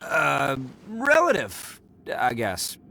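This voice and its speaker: A man's voice: a little high, wildly swooping pitch, drawn-out words, gravelly, sharp tone, slight background noise, full character voice. A man voicing a cocky, breezy, big-hearted young hero in a video game. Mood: evasive, reassuring. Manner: boastful, breezy.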